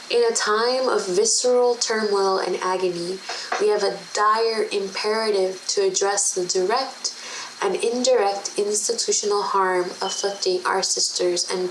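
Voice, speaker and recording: A young woman with a medium-pitched voice reads a formal statement aloud. The sound is echoey, with faint background noise. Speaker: co-founder reading a mission statement. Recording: echoing room with faint noise.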